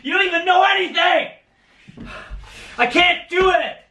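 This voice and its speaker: A man's voice: extremely high pitch, measured pace, pushed and bright, slight background noise, voice pushed up, full character voice. A man acting as a panicking, hysterical young man who claims he is dying, in a strained, breathless voice for a home prank video. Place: living room, indoors. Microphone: camera across the room. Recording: echoey room, far from camera.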